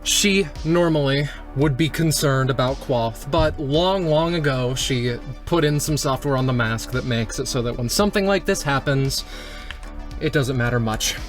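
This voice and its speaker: A man playing a tabletop roleplaying game, describing his character's actions in a deliberate, descriptive way. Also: medium pitch. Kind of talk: tabletop play, narrating own character's actions. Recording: music playing behind. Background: background music.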